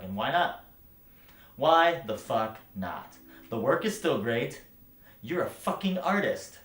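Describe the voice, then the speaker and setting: An actor playing a bitter, brutally frank writing teacher in a theatrical monologue, speaking with scorn, emphasis and profanity. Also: male voice, low voice, a little mellow, natural voice. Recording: roomy echo, light background noise.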